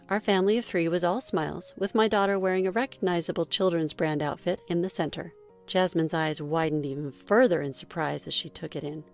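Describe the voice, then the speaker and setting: A woman reading a scripted first-person story in a measured read-aloud manner. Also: lowish voice, vocal fry. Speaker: story narrator.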